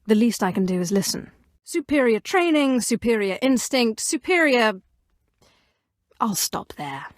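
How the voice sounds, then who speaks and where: A woman's voice: fairly high pitch, sing-song, a little slow, no echo. An actress voicing an imperious, coolly menacing female Sith in a video game, with a clipped, commanding delivery and dry sarcasm. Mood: cool, controlled, then scornful, menacing. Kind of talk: scripted game dialogue, standalone voice lines.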